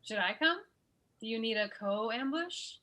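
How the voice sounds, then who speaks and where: A woman's voice: on the high side, expressive, unhurried, sharp tone. An actress playing a supportive, mildly puzzled girlfriend of about thirty in a naturalistic, understated comedy table read. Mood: casual concern.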